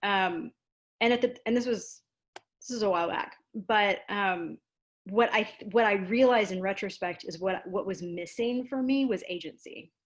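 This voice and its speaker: An American woman being interviewed, speaking in a casual, animated storytelling way with self-deprecating asides. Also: medium pitch, normal speed. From Louisiana, United States. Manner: animated, self-deprecating, acts out quoted exchanges. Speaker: interview guest, theater dramaturg and producer.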